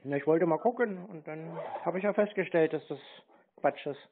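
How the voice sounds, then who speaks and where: A man's voice: a little high, moderate pace, a little hiss or hum. A man on a casual phone call, talking in a terse, mocking and provocative way. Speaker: scambaiter on phone calls. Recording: narrow phone-line sound.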